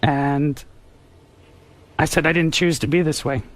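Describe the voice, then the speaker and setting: A young man with a somewhat high voice recounts something personal in a radio interview. His delivery is earnest and halting, like careful confession. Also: fairly even intonation.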